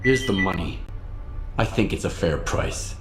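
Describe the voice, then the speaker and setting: An actor playing a terse, guarded, businesslike lone mercenary in a video game. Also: male voice, deep voice, animated, measured pace, music in the background. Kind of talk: video game character dialogue. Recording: roomy echo, faint noise.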